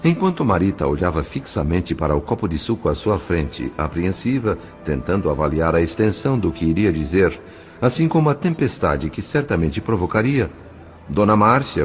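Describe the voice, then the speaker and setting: A Brazilian man narrates an audio novel in a deep voice. His delivery is measured and literary, with expressive swings in pitch. Faint music plays behind him.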